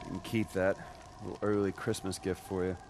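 A man's voice: low voice, little pitch movement, fairly quick, over music. An actor playing a cocky, wisecracking young American male superhero in a video game, with flippant, casual delivery. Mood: casual, confident. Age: young adult.

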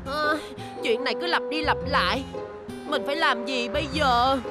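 A woman's voice: high-pitched, normal speed, over loud music, close to an everyday voice. A woman voicing a kind, patient young city bus, easily flustered, in a dubbed children's cartoon.

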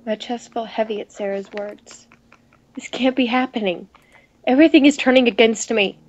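Higher-voiced woman, expressive, slightly muffled. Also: normal speed. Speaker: gaming video co-host. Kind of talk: reading visual novel dialogue aloud, casual game chat.

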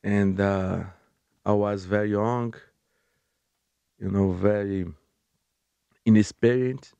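A young man with a Brazilian Portuguese accent, a guest on a podcast in a studio, speaking English haltingly and searching for words. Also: lowish voice, flat delivery, creaky, mellow, smooth, no echo. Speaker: interview guest, fighter. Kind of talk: casual interview. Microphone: studio mic on boom arm, headphones.

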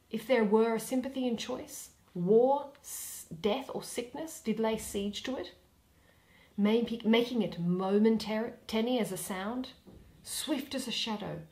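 A young woman giving an expressive dramatic reading of a Shakespeare play, with theatrical, deliberate phrasing and pauses. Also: a little high, vocal fry. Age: young adult. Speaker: reader.

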